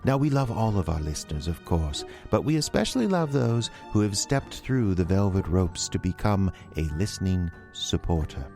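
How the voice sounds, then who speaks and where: A wry, pompous British gentleman presenter, put on by an American man, pitched low with lively swings. He narrates theatrically as a podcast host, over clear music. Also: moderate pace, hardly any pauses, heavily acted. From United States.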